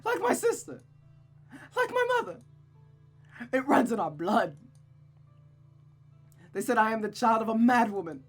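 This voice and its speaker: A woman performs a young woman in a visual novel drama, pitched high and coming apart with fear. She stammers, sobs and pleads, sounding close to madness. Music plays behind her. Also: big pitch swings, measured pace, long pauses. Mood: hysterical despair. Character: distraught, frightened young woman unravelling into madness.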